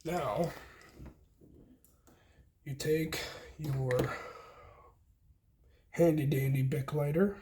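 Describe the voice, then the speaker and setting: A man with a folksy, unhurried way of thinking aloud as he hosts a casual hands-on DIY demonstration. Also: fairly high pitch, fairly even intonation, dark tone, a little hiss or hum. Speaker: host of a homesteading DIY vlog.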